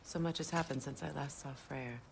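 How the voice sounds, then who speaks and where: An actress playing a proud, wounded, mature warrior goddess in a video game, speaking in a measured, earnest and dignified way. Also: female voice, low voice, creaky, a little hiss or hum, poor audio. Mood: reflective. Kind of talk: video game cinematic dialogue. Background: music.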